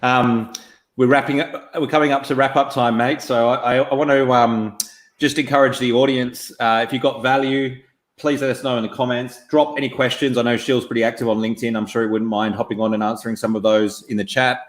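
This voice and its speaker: A man hosting a casual live-streamed interview on a video call, relaxed and full of ums, calling his guest mate. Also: medium pitch, fairly quick. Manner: relaxed, uses fillers like um, calls guest mate. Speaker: host. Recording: echoey room on a video call.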